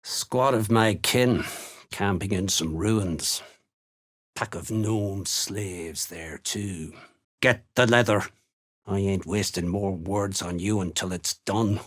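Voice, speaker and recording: Gruff, raspy, menacing dwarf slave hunter. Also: male voice, deep voice, takes it slowly. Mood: blunt, scornful. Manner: blunt, threatening, folksy rustic turns of speech. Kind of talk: video game character dialogue.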